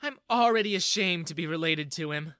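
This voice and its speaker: A man's voice: a little high, fairly quick, pushed and bright, no room sound, studio quality, voice pushed up. A man voicing an outspoken, scornful young woman in a narrated story. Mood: disgusted. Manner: exasperated, gushing.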